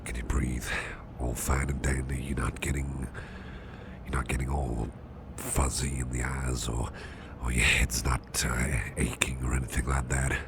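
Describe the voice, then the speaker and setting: A man playing a protective, gentle boss in a soft ASMR roleplay, fussing reassuringly in a half-whisper with hesitant, repeated phrases. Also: level tone, unhurried. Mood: gentle concern. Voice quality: very deep gravelly half-whisper. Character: protective, gentle, fussing male boss comforting exhausted employee. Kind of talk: ASMR roleplay, close personal attention. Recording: close recording with loud background noise.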